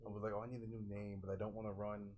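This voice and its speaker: A young man telling a story haltingly in casual podcast chat, correcting himself as he goes. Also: lowish voice, level tone, a little mellow, a little hiss or hum. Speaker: esports team member.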